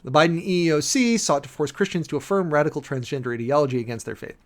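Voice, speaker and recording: Emphatic man reading aloud and commenting. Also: on the high side. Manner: emphatic, argumentative, with rhetorical questions and deliberate pauses. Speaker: preacher and commentator. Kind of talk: dramatic reading of a document with off-the-cuff spoken commentary.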